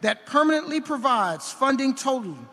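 A man reading a formal resolution from a podium microphone in a large indoor arena, measured and ceremonial, with occasional slips. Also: very high-pitched, very animated, sharp tone. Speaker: university official presenting an honorary degree. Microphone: podium gooseneck microphone. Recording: long reverb of a big arena.

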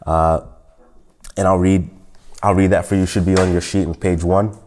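A man in his thirties teaching a church Sunday school lesson, a little nasal from a cold, measured and fond of asking "right?". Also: deep voice, flat delivery, a little mellow, slight background noise. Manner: measured, repeats "O Lord" and "right?". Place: church meeting room at a table.

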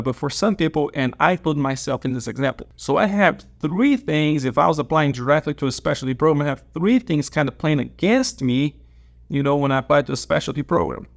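A man's voice: a little high, creaky. Brazilian man explaining with hand gestures. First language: Portuguese. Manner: explains with open hand gestures, repeats key points. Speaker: dentist and educator. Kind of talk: advice talk to camera. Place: small office, seated at a desk. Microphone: lapel mic.

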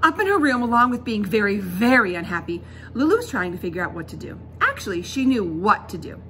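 A middle-aged woman reading a children's book aloud as a teacher, expressive, emphatic and instructive. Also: mid-range voice, brisk. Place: indoors in a school classroom. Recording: classroom recording with background noise.

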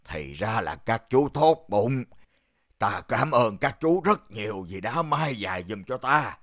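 A man voicing a poor, kindly, overworked older shoemaker with a gentle, expressive manner in a children's animated fairy tale. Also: medium pitch, unhurried. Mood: grateful, kind. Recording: music under the voice. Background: music.

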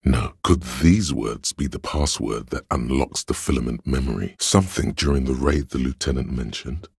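An extremely low, creaky voice of pure logic, narrating inside a detective's head in a video game. It is husky and deliberate, coolly deductive and a little condescending. The sound is close and dry. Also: male voice, darkish tone, dry recording, exaggerated voice. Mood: cool, analytical. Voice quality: gravelly, husky. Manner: measured, deliberate, dryly analytical. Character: detective's inner voice of logic, cool, cerebral, condescending. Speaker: voice actor.

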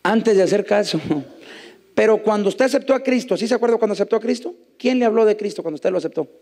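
A man's voice: sits high, fast, big-room sound. A man, preaching on a church stage and joking with the congregation. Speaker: guest preacher and singer. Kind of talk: sung worship then sermon. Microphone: handheld mic. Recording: loud band music behind. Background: band music during songs.

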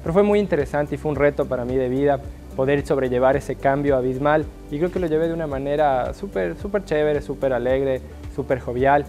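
A young man from Guayaquil who speaks with an Ecuadorian highland accent, giving an earnest, upbeat testimonial in a small studio, often restarting his phrases. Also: fairly quick, darkish tone, soft background music. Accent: Ecuadorian highland (serrano). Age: young adult. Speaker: alumnus interviewee. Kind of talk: sit-down testimonial interview.

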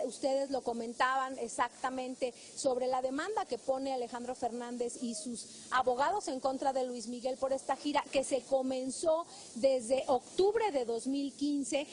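High-voiced Mexican woman reporting live. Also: a little slow, darkish tone. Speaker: field reporter. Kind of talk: live news report, semi-scripted. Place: outside a government building on a city street. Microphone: handheld reporter microphone. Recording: outdoor street noise behind.

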